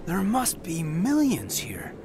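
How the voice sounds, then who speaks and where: An actor playing a thoughtful, awed junior officer in a video game, speaking earnestly. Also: male voice, high-pitched, huge pitch swings, slow, background noise, heavily acted. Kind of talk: video game cutscene acting.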